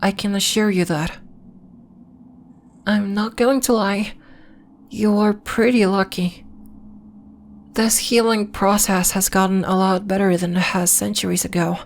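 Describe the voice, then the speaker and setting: Woman playing a slow-spoken gentle moon healer. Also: mid-range voice, fairly even intonation, natural voice. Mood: reassuring. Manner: measured, formal, reassuring. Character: gentle, earnest female alien moon-temple healer. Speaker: ASMR roleplay voice actor. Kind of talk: slow, soft ASMR roleplay acting.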